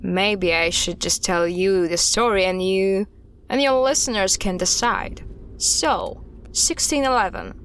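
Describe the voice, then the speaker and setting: A woman playing an earnest, guarded expert recounting dark history in an audio drama styled as a recorded interview, with a measured, serious delivery. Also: mid-range voice, a little hiss or hum, lightly acted. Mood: earnest, insistent, then measured storytelling.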